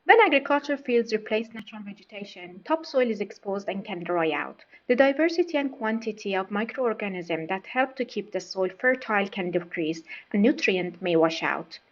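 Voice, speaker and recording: A slightly muffled, lo-fi recording of a woman reading a prepared passage aloud. Her voice sits at a medium pitch, in a scripted practice style. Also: animated, normal speed, hardly any pauses. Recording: dull, lo-fi audio.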